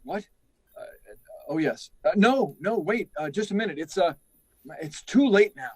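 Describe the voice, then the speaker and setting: A man's voice: sits high, animated, moderate pace, a little mellow, husky, no room sound. A man playing an anxious, commanding flight controller with an urgent manner, in radio drama style. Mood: troubled, hesitant.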